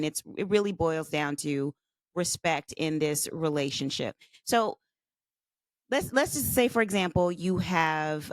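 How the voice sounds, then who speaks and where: A woman's voice: low voice, dry recording. Encouraging woman host in her fifties. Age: 50s. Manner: encouraging, affirming questioner. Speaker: podcast host. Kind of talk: interview conversation.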